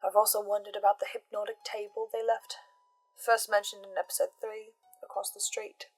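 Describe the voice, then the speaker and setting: A woman giving intense, theatrical scripted commentary with emphatic pauses, like a host laying out a theory. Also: medium pitch, level tone, low-quality recording. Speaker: host of a reaction and theory channel. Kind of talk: dramatic scripted commentary on a fiction podcast. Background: background music.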